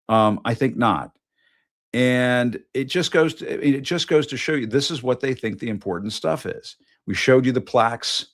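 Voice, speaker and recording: A middle-aged man hosting a political news show, talking casually and mockingly, often restarting and repeating his lines. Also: lowish voice.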